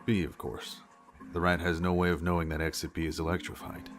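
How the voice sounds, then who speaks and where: A composed, analytical gentleman in a game dub, voiced by a man, with a deep, low voice. His speech is formal and courteous, set over clear background music. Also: little pitch movement, natural voice. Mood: pondering. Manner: formal, measured, polite. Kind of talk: amateur visual novel game dub acting.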